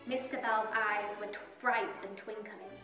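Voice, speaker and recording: A woman reading a children's story aloud as storyteller, expressive and deliberate, with drawn-out emphasis. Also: medium pitch, recorded from a distance. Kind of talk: scripted storybook narration for young children. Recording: roomy echo, music behind. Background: soft music.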